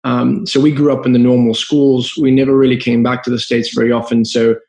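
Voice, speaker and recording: A man with a Zimbabwean accent speaks in a gravelly, fry-edged voice at a middling pitch. He tells his story in an earnest, reflective and open way, as a guest on a podcast. The sound is roomy, with a clear echo around him. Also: level tone, brisk, dark tone. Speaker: guest entrepreneur.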